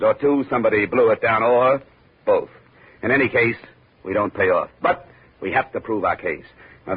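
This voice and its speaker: Hard-boiled American investigator, low voice, clipped and commanding, wry, vintage radio drama, muffled old recording. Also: male voice, husky. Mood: brisk, commanding. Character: brisk, hard-boiled male insurance investigator. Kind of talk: 1950s radio detective acting, first-person narration.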